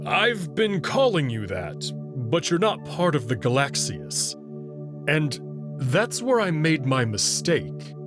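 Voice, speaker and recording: A man voicing a proud, guarded male alien who explains things slowly and carefully. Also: fairly high pitch, very animated, music in the background. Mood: calm. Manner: measured and slow, prideful about his species. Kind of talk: visual novel reading.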